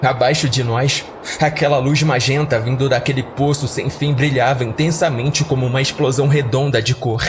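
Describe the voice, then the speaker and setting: A man's voice: medium pitch, fairly even intonation, normal speed, slight background noise. A man narrating a scripted horror story in a dramatic audiodrama style, as a podcast storyteller.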